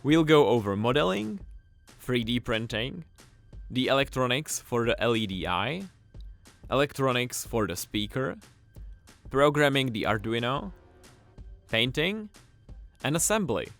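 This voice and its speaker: A man presenting a scripted tutorial, explaining things clearly and with enthusiasm. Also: medium pitch, lively intonation, measured pace, long pauses, a bit bright. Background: music.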